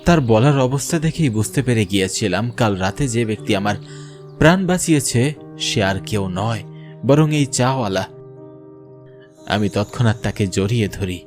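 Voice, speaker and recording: A man's voice: lowish voice, animated, moderate pace, darkish tone, faint music behind. A man narrating a scripted first-person horror story in a grave, dramatic way.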